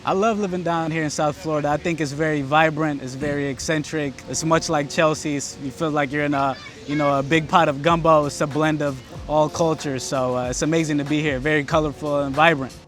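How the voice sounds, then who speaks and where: Calm, thoughtful man from Ethiopia. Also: on the high side, fairly quick, few pauses, soft background music. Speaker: guest, football fan. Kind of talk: casual interview.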